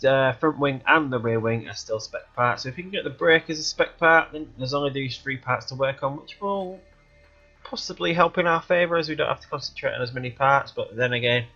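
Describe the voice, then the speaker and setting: A man's voice: medium pitch, bright, forceful tone. A man with a cold, sounding stuffy and sniffly, rambling through casual commentary as he plays a game. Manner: rambling, thinking aloud while playing. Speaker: let's play gamer. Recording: dull lo-fi recording, faint music behind.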